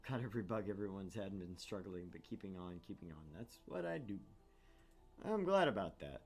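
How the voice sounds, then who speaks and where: Deep-voiced playful male game streamer. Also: animated, fairly quick, faint music behind. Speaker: streamer, co-host. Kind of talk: visual novel narration with casual stream chat.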